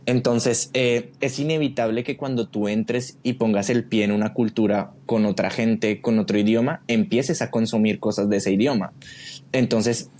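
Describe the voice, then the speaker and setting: A man with a Colombian accent speaks as an interview guest in a casual, thoughtful way. His voice sits fairly low, and there is faint ambient noise behind him. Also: steady tone, moderate pace, darkish tone. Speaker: podcast guest, creative director. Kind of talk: casual reflective interview talk.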